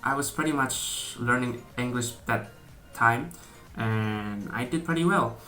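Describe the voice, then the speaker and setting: Young man, Indonesian accent, bright tone, hesitant casual vlog talk, soft music behind. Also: medium pitch, unhurried. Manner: hesitant, many fillers and restarts, laughs often. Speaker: vlogger.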